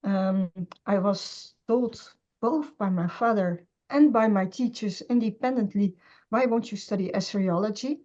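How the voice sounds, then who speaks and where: A middle-aged woman, a scholar of Semitic languages, speaking in an interview with many pauses, fillers and restarts. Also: mid-range voice, dark tone. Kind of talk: conversational interview. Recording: dull lo-fi sound.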